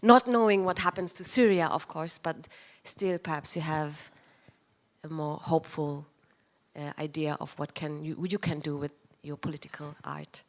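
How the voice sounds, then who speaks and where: A woman's voice: low voice, steady tone, moderate pace. A woman moderating a panel, putting a long, thoughtful question to artists.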